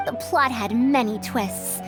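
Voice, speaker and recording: Prim, high-voiced bookish female scholar. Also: slow, over music. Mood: earnest. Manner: pedantic, formal wording. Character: bookish, prim female scholar over a hundred years old, youthful and opinionated. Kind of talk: anime-style video game voice acting.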